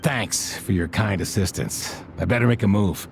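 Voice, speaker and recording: A man voicing a dutiful, courteous male officer in a video game cutscene, calm and polite. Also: lowish voice, normal speed, creaky, soft background music.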